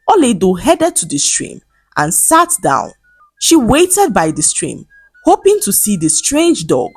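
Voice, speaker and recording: A woman reading a scripted folktale as a storyteller, in a dramatic and expressive manner. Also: medium pitch, husky, no echo. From Nigeria.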